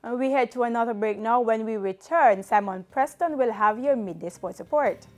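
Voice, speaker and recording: A woman's voice: a little high, moderate pace, darkish tone, faint music behind. A woman with a Jamaican accent presenting television news as an anchor.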